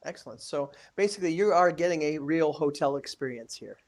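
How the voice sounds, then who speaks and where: This is an instructor hosting an interview with a former student in a webinar. The voice sits at a middle pitch. The questions come unscripted and often get rephrased mid-sentence. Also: lively intonation, brisk, a little mellow. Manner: asks questions and rephrases them on the spot.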